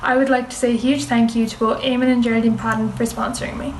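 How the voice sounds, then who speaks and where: A woman's voice: a little high, level tone, quick pace, reverberant, distant mic, slight background noise, rough recording. A nineteen-year-old Irish woman giving a formal, prepared self-introduction as a pageant contestant. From Ireland. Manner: formal, reads a prepared speech.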